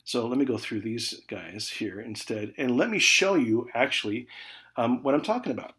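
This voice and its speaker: A man's voice: medium pitch, expressive, normal speed. American man teaching, conversational and emphatic. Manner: conversational, emphatic key words trailing off into soft check-ins like "okay". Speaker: college communication instructor. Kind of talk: informal recorded lecture for an online class.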